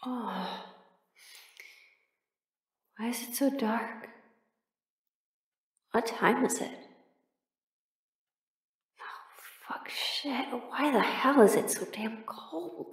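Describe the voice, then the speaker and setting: A woman plays a drowsy, affectionate partner in an intimate roleplay audio. Her voice is fairly high and slow, muttering softly and grumbling playfully. The sound is roomy. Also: distant mic. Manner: soft, drowsy, muttering to herself with whispers and sighs. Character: sleepy affectionate partner awake in cold bed, playfully grumbling.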